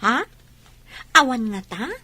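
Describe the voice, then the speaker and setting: A woman's voice: fairly high pitch, slow, bright, forceful tone, heavily acted. A woman playing an eager, supportive young female friend with an encouraging manner in an audio drama. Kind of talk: Filipino radio-style drama acting.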